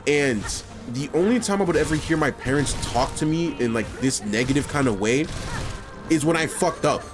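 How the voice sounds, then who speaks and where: Brief: male commentary host from New York, lively intonation, casual, earnest, music underneath. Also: on the high side, normal speed. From New York, USA. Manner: casual, heartfelt, filler-heavy, swearing. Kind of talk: reaction commentary.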